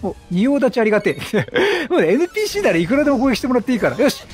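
A man giving casual video game commentary, chatty and jokey, reacting out loud with exclamations. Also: very high voice, sing-song, very fast. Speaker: game streamer.

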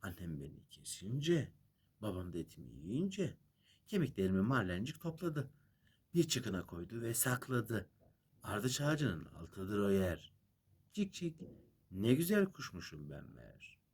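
A man's voice: lowish voice, wildly swooping pitch, unhurried, husky, full character voice. A man voicing a proud magical bird from a fairy tale, sing-song in verse and firm in bargaining. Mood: sing-song, plaintive.

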